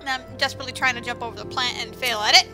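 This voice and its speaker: A woman's voice: a little high, fairly even intonation, rapid-fire, music in the background. A woman giving casual, sarcastic and exclamatory commentary over a video game, speaking informally with some swearing. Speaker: gameplay commentator.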